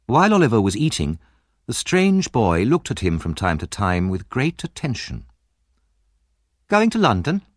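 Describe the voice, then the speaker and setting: A man narrating a story as a scripted audiobook, in a measured and expressive storytelling manner. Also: fairly low pitch, dry recording, clean studio sound.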